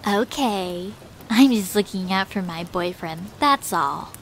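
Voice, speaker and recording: A woman's voice: medium pitch, lively intonation, slow, a little hiss or hum, low-quality recording. A woman voicing a caring, affectionate female cartoon beaver girlfriend in a cutesy, soothing, doting way. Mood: fond, sleepy. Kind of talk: cartoon fan fiction audio reading.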